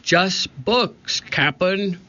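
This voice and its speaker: An eager lackey pirate, put on by a male reader in a storybook. The voice jumps up high and forceful as if calling out to the boss. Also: very slow, dull audio, exaggerated voice. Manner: calling out from a distance.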